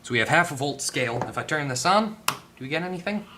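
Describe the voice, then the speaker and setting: A man giving a casual hands-on electronics repair tutorial, tentative and thinking out loud as he goes. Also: a little high, animated, fast talker, noisy background. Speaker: repair video host. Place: at a workbench indoors.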